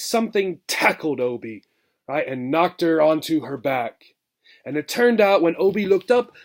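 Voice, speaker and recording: High-voiced man reading a children's book aloud. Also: a little mellow. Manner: explanatory, checks in with "right". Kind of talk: expressive children's book narration.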